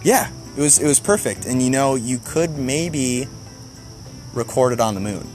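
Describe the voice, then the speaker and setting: A man's voice: mid-range voice, lively intonation, normal speed, darkish tone, soft background music. A man chatting casually and jokingly as a podcast co-host. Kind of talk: casual comedic chat.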